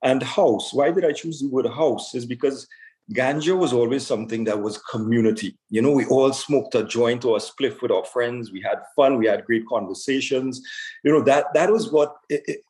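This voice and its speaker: A man from Trinidad and Tobago answering interview questions conversationally as a store owner, haltingly, repeating words as he searches for phrasing. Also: medium pitch, a little mellow.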